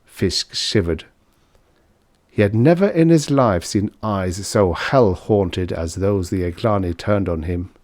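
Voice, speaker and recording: Male audiobook narrator, low pitch, very dry sound, scripted science fiction storytelling. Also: a little mellow, dry recording.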